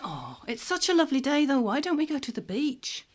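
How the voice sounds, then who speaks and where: A woman's voice: high voice, sing-song, brisk. A woman playing a wry, teasing shop worker in an audio drama, practical and mischievous, with a dry manner. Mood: coaxing.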